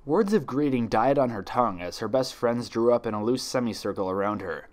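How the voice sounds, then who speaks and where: Guy reading a fanfic out loud, dramatic narrator, medium pitch, really animated. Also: fairly quick. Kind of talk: dramatic fan fiction story reading.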